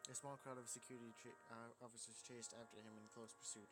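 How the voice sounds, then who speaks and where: A man's voice: medium pitch, little pitch movement, fast talker. A man reading a visual novel aloud as dramatic narration, casual and prone to stumbling over lines. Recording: loud music under the voice. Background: game music.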